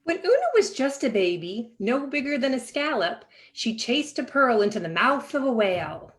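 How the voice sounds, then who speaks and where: A woman reading a children's picture book aloud in an expressive, animated way with dramatic pauses. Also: fairly high pitch. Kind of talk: expressive children's storybook narration.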